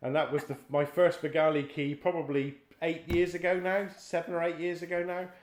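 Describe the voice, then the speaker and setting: Middle-aged guy doing a chatty interview, slightly high voice, really echoey room. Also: little pitch movement, fairly quick, a little hiss or hum. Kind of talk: conversational interview.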